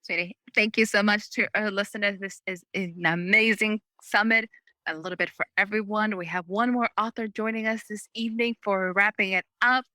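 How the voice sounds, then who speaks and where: A woman's voice: mid-range voice, fairly even intonation, normal speed, sharp tone, dry recording. A woman hosting an upbeat interview show in an effusive, enthusiastic manner. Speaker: host.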